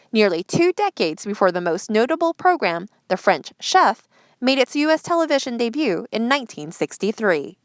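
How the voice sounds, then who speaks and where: A woman's voice: fairly high pitch, no echo. A woman hosting a read-aloud news feature, narrating from a script. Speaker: host reading articles aloud.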